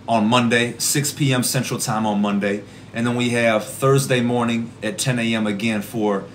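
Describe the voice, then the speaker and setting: A young man in his twenties, an evangelist from the American Midwest, praying fervently in a rhythmic, repeating cadence with a voice gone hoarse. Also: mid-range voice, slight background noise. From Rockford, Illinois. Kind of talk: livestream prayer and preaching. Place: small indoor room at home. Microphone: phone camera at arm's length.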